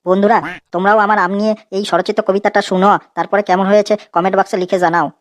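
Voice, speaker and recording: A cheeky, slow-witted cartoon schoolboy, voiced by a woman. The pitch stays in a narrow band at a middle height, and the delivery is naive, wheedling and colloquial. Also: level tone, close to an everyday voice. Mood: proud, reciting. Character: cheeky dim schoolboy, a self-styled poet. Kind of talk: comedy cartoon dialogue.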